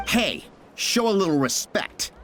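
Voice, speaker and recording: An actress voicing a defensive flying squad member who talks in an indignant, sharp way. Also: female voice, medium pitch, very animated, measured pace.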